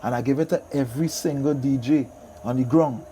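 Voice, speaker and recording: A man's voice: mid-range voice, a little fast, mellow, a little hiss or hum. A middle-aged man with a Trinidadian accent, a soca artist chatting casually in an interview, telling stories with repeated phrases.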